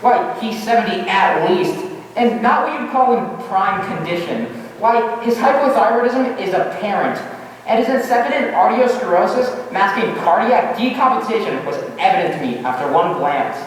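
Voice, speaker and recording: A man's voice: high voice, expressive, moderate pace, distant mic. Man acting bashful bookish teacher, echoey hall. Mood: earnest. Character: bashful, bookish male biology teacher, easily flustered. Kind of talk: 1940s-style radio comedy stage acting.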